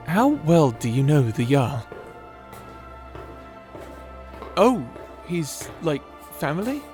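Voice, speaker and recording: A man playing a shy, nerdy young wizard who rambles anxiously, stammering and apologizing in a fantasy audio roleplay. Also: high voice. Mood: curious, nervous.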